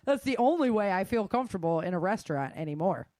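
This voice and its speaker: A middle-aged woman gives practical advice in a frank, informal way. She speaks conversationally and puts weight on her points. Her voice sits at a middle pitch, recorded dry with no room sound. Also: lively intonation, fast, mellow, no room sound. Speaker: self-defense instructor and guest.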